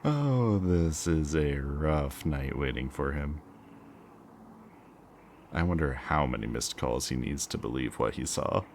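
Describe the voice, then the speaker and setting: A man playing a smug, taunting kidnapper villain in an audio roleplay, with a mocking, theatrically drawn-out delivery. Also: rumbling low, animated, darkish tone, slight background noise, heavily acted. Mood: taunting, gleeful. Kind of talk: scripted audio roleplay, ASMR.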